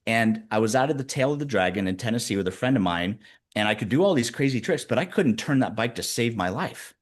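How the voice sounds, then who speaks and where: A man in his fifties, a guest executive coach, telling stories in a casual interview with lively, shifting pacing. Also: mid-range voice. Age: 50s. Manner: animated storyteller, speeds up and slows down.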